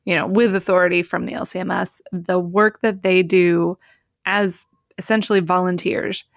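A woman's voice: on the low side, flat delivery, normal speed, creaky, no room sound, clean studio sound. A woman co-hosting a casual podcast chat with a wry, articulate way of talking.

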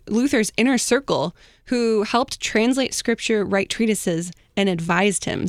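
A woman's voice: medium pitch, no echo, clean studio sound. A woman hosting an informal history story among co-hosts, talking casually with slangy, chatty asides. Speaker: host telling a history story.

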